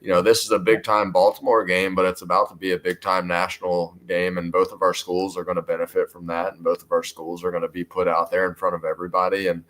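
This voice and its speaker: Very low-voiced American football coach. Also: male voice, little pitch movement, fast talker, few pauses, a bit bright. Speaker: high school football coach. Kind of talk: sports talk show interview.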